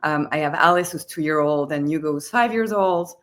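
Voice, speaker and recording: A woman's voice: low voice, normal speed. A woman in her thirties with a French accent, a company founder speaking casually on a webinar panel with many fillers. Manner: conversational, many fillers like "like" and "you know".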